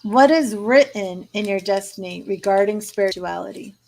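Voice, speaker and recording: A hoarse woman losing her voice, giving a live tarot reading and reading card meanings aloud between casual asides. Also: mid-range voice, normal speed, a little mellow, low-quality recording. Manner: reads card meanings aloud, then chats and restarts. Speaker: tarot reader.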